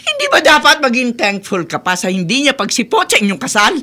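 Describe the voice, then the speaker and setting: A woman's voice: fairly high pitch, sing-song, a little fast, bright, forceful tone. A woman playing a disapproving, domineering middle-aged mother in a radio soap, scolding in Tagalog mixed with English. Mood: scornful. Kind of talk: Philippine radio soap opera acting.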